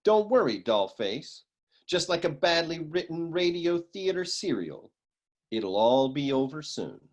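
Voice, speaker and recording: An actor playing a wisecracking hardboiled private eye in a comic noir radio serial, with deadpan, slangy tough-guy talk. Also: fairly high pitch, measured pace. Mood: smooth, reassuring.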